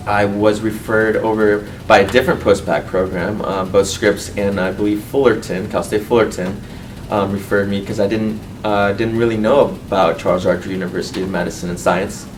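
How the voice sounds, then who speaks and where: A man in his twenties from Colombia who grew up in California, giving an earnest, halting personal testimonial as a student. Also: little pitch movement. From Colombia, raised in California. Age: 20s. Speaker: medical student and university board representative. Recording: distant mic, faint rumbling noise.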